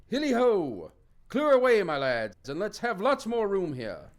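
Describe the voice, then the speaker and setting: A man's voice: high voice, wildly swooping pitch, unhurried, full character voice. A man playing a jovial old merchant, calling out heartily in an audio play. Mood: jolly, boisterous.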